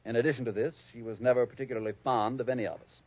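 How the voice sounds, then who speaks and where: An actor playing a prim, conniving, avaricious heir, speaking formally and precisely in 1940s radio style. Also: male voice, medium pitch, fairly even intonation, very fast, lightly acted. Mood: precise, superior. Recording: thin, phone-like old radio recording.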